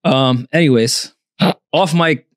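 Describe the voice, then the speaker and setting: Rambling deadpan podcast host, man. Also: medium pitch, slow, no echo, studio quality. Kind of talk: casual banter. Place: podcast studio.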